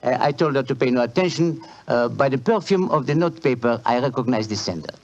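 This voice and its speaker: An actor playing a suave, wry French police inspector with a French accent in 1940s radio drama style. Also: male voice, medium pitch, expressive, fast, darkish tone, lightly acted. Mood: amused, urbane. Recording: muffled 1940s radio recording.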